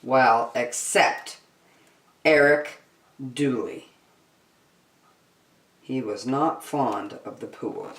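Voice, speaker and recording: A woman reading a children's picture book aloud as host, deliberately phrase by phrase, in an echoey room. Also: rumbling low. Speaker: storytime host and reader. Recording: roomy echo.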